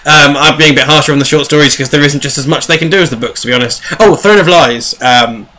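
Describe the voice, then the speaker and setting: A man hosting a book review show in casual, animated, rambling chat with a jokey edge. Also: fairly high pitch, fast.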